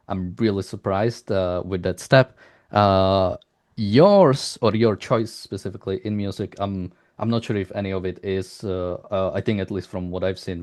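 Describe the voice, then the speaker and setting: A man interviewing a developer in a conversational style, with halting, hesitant phrasing. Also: sits low, a little mellow.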